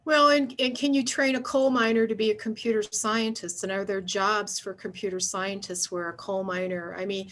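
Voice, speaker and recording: Presenter: Midwestern woman, casual, stops and restarts often, steady mid pitch, on a video call. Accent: Midwestern American. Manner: casual, halting, repeats words. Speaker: presenter, computer science professor. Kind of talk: online seminar discussion.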